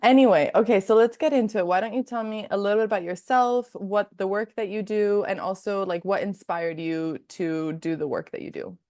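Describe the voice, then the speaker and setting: A woman's voice: medium pitch, brisk, few pauses, darkish tone, dry recording, clean studio sound. A young woman with a Dominican accent hosting a podcast, chatty and rambling in a friendly way. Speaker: podcast host. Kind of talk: casual interview.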